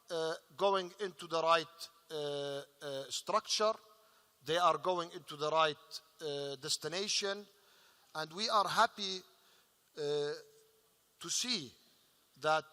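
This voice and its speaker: A man speaking as an investor on a panel, earnest and halting, with many fillers. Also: high voice, bright, forceful tone. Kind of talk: panel discussion remarks. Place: large conference hall. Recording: reverberant conference hall.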